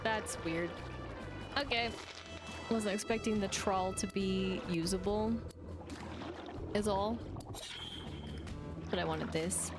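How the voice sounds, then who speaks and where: A woman gives casual live commentary on a game she is playing. She rambles and thinks aloud in a mid-pitched voice, with music clearly playing behind her. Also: slow, long pauses. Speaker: game streamer.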